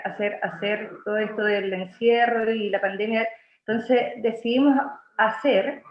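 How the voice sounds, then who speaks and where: A Chilean actress and playwright talking over a video call, halting, with many restarts. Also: female voice, on the high side. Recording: echoey room, muffled lo-fi sound.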